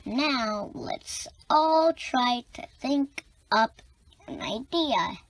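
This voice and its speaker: A woman's voice: high-pitched, expressive, very slow, low-quality recording. A woman reading a children's comic book aloud, expressively acting out the dialogue. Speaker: storybook reader.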